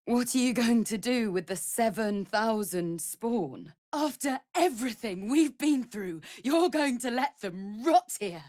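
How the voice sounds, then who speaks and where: A woman's voice: fairly high pitch, animated, a little slow, few pauses, darkish tone, no room sound, clean studio sound. An actress playing an earnest, anxious female vampire spawn, a loyal sister who speaks formally, in a video game. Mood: pleading. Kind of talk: dramatic video game voice acting.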